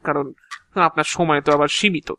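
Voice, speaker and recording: A man's voice: on the high side, fairly even intonation, fairly quick, sharp tone, no room sound, clean studio sound. An actor playing an ancient, talkative agent of Death in an audio drama, explaining his work proudly in a theatrical, officious yet chatty way. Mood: matter-of-fact. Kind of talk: Bengali audio drama acting.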